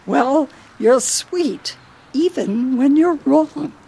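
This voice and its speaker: A woman's voice: high-pitched, expressive, very slow, gravelly, warm tone, slight background noise, exaggerated voice, poor audio. A woman playing a feisty elderly wife in a radio play, teasing and needling her husband theatrically. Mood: fond exasperation.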